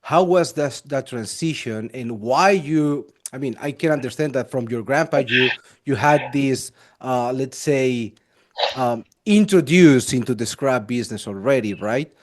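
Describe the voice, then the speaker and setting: Immigrant podcast host, quick and stumbling. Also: male voice, mid-range voice. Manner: quick, stumbling, non-native phrasing. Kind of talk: casual interview hosting.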